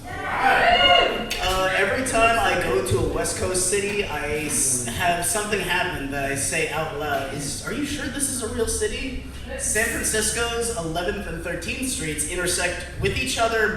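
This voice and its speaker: A young man doing a stand-up set, delivering dry jokes with many halting fillers. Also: high-pitched, very animated, far from the mic. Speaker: stand-up comic. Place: small comedy club. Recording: echoey room, mic heard from afar. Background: crowd ambience and laughter.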